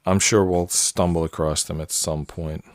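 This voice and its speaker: A man's voice: deep voice, normal speed, dry recording, studio quality. A man in his late forties chatting casually and rambling on tangents while working on a jigsaw puzzle. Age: 40s. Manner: rambling, relaxed, many fillers and tangents. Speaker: puzzle channel host. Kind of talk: casual solo commentary while solving a puzzle.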